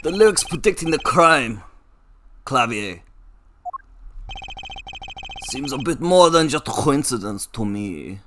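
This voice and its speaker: A hoarse woman voicing an earnest young male defense attorney, loud and questioning. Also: extremely low pitch, sing-song, takes it slowly, long pauses, a little hiss or hum, heavily acted. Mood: thoughtful.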